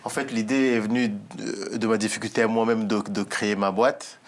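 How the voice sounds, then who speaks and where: A man in his late thirties talks about his business projects as a guest on a television talk show. His voice sits fairly low, and he speaks earnestly, pausing and restarting often as he searches for words. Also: steady tone, a little hiss or hum. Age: late 30s. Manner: hesitant, many fillers and restarts, earnest. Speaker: entrepreneur guest.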